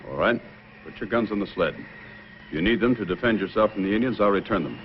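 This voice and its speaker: An actor playing a firm, fair, heroic Mountie sergeant in an old-time radio adventure, speaking with decisive authority. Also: male voice, lowish voice, animated, fast, a little hiss or hum, close to an everyday voice. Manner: commanding, decisive. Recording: muffled vintage radio recording.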